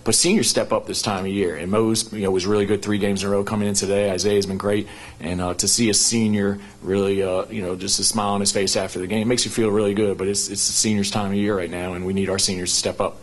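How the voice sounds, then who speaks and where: A middle-aged man coaching basketball, speaking quickly in a fairly low voice at an arena press conference. He answers questions through a table microphone, running his thoughts together with a lot of "you know" and restarts. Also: flat delivery, slightly rough, rough recording. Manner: rapid, with frequent "you know" fillers and restarts. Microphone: table microphone on a stand.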